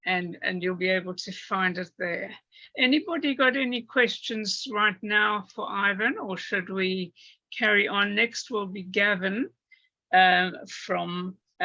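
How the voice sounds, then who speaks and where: A woman chairing an online meeting, speaking slowly with many fillers. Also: mid-range voice, bright, forceful tone, dry recording, lo-fi sound. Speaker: meeting host.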